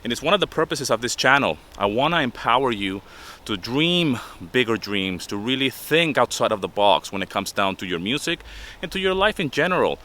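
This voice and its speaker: A man's voice: mid-range voice, very animated, fairly quick, pushed and bright. A man in his fifties with a Venezuelan accent, giving a motivational talk to camera in an earnest tone. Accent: Venezuelan Spanish. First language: Spanish. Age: 50s. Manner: earnest, repeats points with "okay?". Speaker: vlogger.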